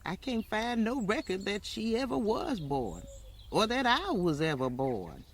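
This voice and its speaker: An actor playing an elderly woman from Alabama with a Southern US accent, telling her life story in a folksy, halting, plainspoken way as in an old-time radio drama. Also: mid-range voice, very animated, slight background noise. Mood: musing, wry. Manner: halting, folksy, colloquial, musing.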